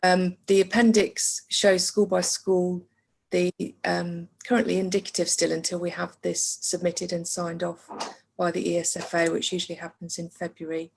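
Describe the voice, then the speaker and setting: A woman with a low, gravelly voice briefs a council meeting. She holds a flat, even tone and keeps pausing on fillers as she works through each point. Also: slight background noise, poor audio. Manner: measured, with frequent hesitation fillers. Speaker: council finance officer.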